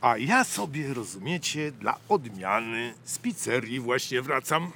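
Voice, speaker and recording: Easygoing neighbourhood mate for radio comedy; lively swooping pitch, forward tone, wry banter. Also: male voice, a little slow, a little hiss or hum, exaggerated voice. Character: chummy male neighbourhood pal, teasing and easygoing. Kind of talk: comic radio play dialogue.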